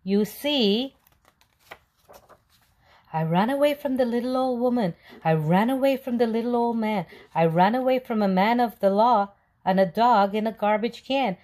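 A woman's voice: fairly high pitch, moderate pace, a little mellow, voice pushed up, natural voice. A woman voicing a cheeky, boastful little gingerbread man in a sing-song storybook read-aloud, with an odd little voice. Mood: worried boasting. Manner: sing-song chanting, taunting.

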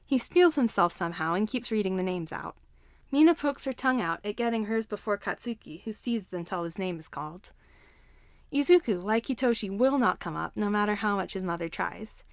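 A woman's voice: mid-range voice, lively intonation, brisk, dry recording, clean studio sound. A woman reading a scripted story aloud as narrator.

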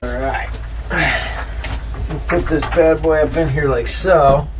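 A man in his thirties talks casually as a DIY vlogger, with a voice a little higher than average. His delivery is folksy and easygoing, full of slang. He is picked up by a camera mic inside a small wood-lined camper, with faint noise behind him. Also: takes it slowly, distant mic. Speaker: vlogger and channel host. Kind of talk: casual DIY vlog chat. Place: small plywood-lined camper shell on a car. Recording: clearly noisy, with ambient background sound.

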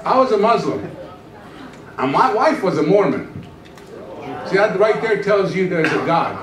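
Storytelling pastor in an echoey church hall. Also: male voice, high-pitched, a little slow, recorded from a distance, a crowd in the background. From Iran. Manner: storytelling, joking, animated gestures while pacing the stage. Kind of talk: humorous testimony sermon. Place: church stage, large room. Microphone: handheld microphone.

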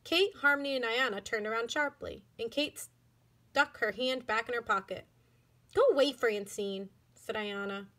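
A woman reading a children's book aloud like a schoolteacher, in an expressive, deliberate manner. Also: a little high. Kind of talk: children's storybook narration.

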